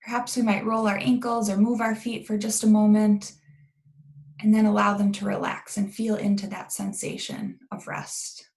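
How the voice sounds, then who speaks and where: A woman leading a guided meditation in a calm, gentle, unhurried way with long pauses and a creaky voice. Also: medium pitch.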